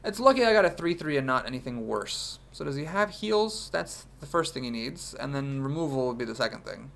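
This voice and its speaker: A man's voice: medium pitch, lively intonation, brisk, gravelly, a bit bright, slight background noise. A young man with a congested voice from a cold, thinking aloud as he commentates his own card game. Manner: analytical, thinks aloud about plays. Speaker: game streamer.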